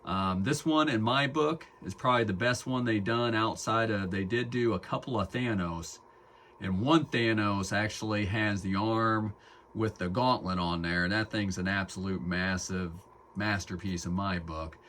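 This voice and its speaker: Male collector-reviewer, lower-pitched, informal and unscripted, pausing to think mid-sentence. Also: fairly bright tone, a little hiss or hum. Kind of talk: casual unscripted product review.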